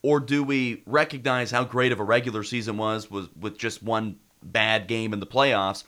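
A man's voice: on the low side, steady tone, moderate pace, pushed and bright. An American man hosting casual sports talk radio, congested with a head cold.

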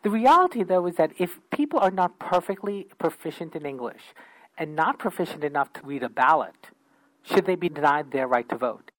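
A man's voice: fairly high pitch, lively intonation, a little fast, fairly bright tone, dry recording, poor audio. A man who works as a legal advocate, making an earnest, persuasive case in an interview. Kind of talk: interview soundbite.

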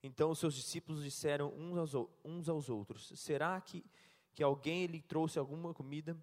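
A man interprets a sermon phrase by phrase and reads scripture aloud, often stopping to correct a word. His voice is fairly high for a man. The long reverb of a church hall hangs behind him. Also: fairly even intonation. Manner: halting, self-correcting, laughs at slips.

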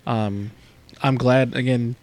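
A thirty-year-old man with a gravelly voice, co-hosting a podcast in a laid-back, informal way with some swearing. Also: medium pitch, fairly even intonation, slow pace, a little mellow. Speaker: podcast co-host. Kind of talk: casual podcast chat.

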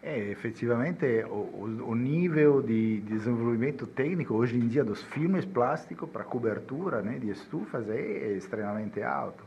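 A man from Italy speaking Portuguese with an Italian accent, a guest expert explaining in a halting way with many restarts. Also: medium pitch, expressive, creaky, darkish tone, highs cut off, slight background noise. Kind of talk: casual interview chat.

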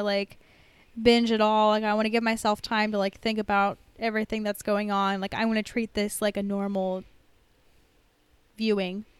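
A woman's voice: mid-range voice, fairly bright tone, clear, resonant tone, no room sound. A woman chatting casually as a podcast co-host, in an informal, hedging way peppered with "like". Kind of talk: casual podcast chat about TV shows.

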